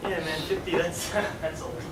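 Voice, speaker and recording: An actor playing a breezy, needling teenage best friend back from the dead in a stage play, talking in casual slang. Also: male voice, on the high side, flat delivery, moderate pace, lightly acted. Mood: teasing, needling. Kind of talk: live stage play acting. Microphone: far camera. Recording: distant, echoey theatre recording with noise.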